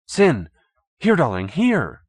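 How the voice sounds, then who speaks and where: A man's voice: fairly high pitch, huge pitch swings, takes it slowly, breathy edge, dry recording, voice pushed up, full character voice. A man voicing a distraught, pleading captive husband in an audiobook, urgent and desperate. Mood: urgent relief. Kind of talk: audiobook character acting.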